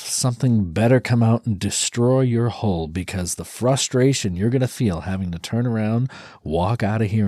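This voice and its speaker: A man narrating a dark second-person story in ASMR style, hushed and often dropping into a whisper. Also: low voice, slightly rough, dry recording, clean studio sound. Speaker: audio storyteller.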